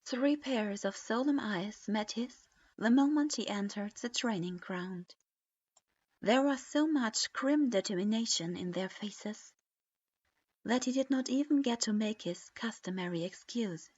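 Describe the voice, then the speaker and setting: Slow, deadpan woman reading a story aloud. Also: fairly high pitch. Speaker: fanfiction reader. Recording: dull lo-fi recording.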